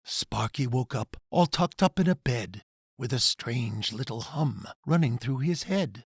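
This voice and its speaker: A man reading his own rhyming children's storybook in a dramatic, expressive way. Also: medium pitch, measured pace, husky, dry recording, clean studio sound.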